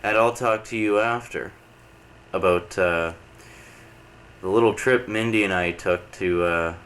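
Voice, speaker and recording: Deep-voiced man rambling on camcorder tape. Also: unhurried, a bit bright. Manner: rambling, lots of uh and restarts. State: coming down with a cold. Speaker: video letter host. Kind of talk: casual rambling chat to camera. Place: indoor home program room. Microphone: camcorder mic. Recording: noisy early-90s camcorder tape.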